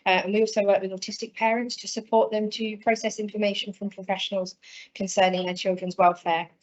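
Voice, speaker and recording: A woman presenting her support practitioner role, brisk and informative, with frequent ums. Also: medium pitch, flat delivery. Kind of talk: presentation at an online event. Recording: dull lo-fi sound.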